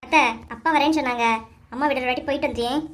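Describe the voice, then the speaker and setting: A woman's voice: high voice, steady tone, rapid-fire, lightly acted. A woman playing an obliging, deferential young daughter-in-law in a cartoon comedy, with a Kanyakumari Tamil accent. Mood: polite.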